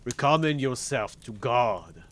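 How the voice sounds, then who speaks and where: A man's voice: mid-range voice, animated, slight background noise, pitched above the performer's own voice, close to an everyday voice. Man acting a menacing, bellowing storybook tyrant husband. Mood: merciless. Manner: commanding, drawn-out emphatic shouts. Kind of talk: dramatic fairy-tale storybook reading.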